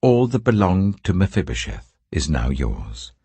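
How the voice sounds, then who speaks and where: A man playing a sorrowful, commanding old king in a solemn, measured audiobook reading of scripture. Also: low voice, sing-song, warm tone, husky, no echo, full character voice. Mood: questioning, regal.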